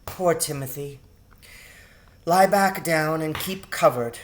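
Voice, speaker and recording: A man voicing a caring, anxious mother mouse in a storybook, speaking in a gentle, motherly way. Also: on the high side, slow, a little hiss or hum, voice pushed up. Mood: tender concern.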